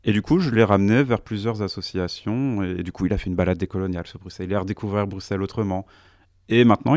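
A man's voice: on the low side, vocal fry, darkish tone, no room sound, clean studio sound. Earnest man in his thirties. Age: 30s. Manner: earnest, thoughtful, with frequent hesitations and restarts. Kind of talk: radio panel discussion.

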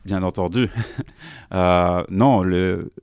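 A man's voice: deep voice, fairly even intonation, very slow, a little mellow, clear, resonant tone, no room sound, clean studio sound. A man, speaking as a youth worker on a panel, earnest and thoughtful, often restarting his sentences. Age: 30s. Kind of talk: radio panel discussion.

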